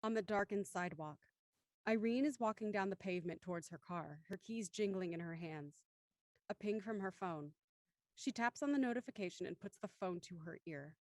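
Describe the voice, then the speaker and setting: A woman's voice: mid-range voice, fairly quick, darkish tone, no room sound. Dry-recorded woman narrating an audio thriller. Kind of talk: scripted audio drama narration, reading stage directions.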